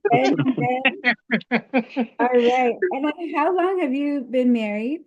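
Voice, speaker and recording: A woman hosts a live interview on a stream, laid-back and laughing along with her guests. She talks slowly, her voice sitting a little high for a woman. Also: darkish tone, muffled recording. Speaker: host.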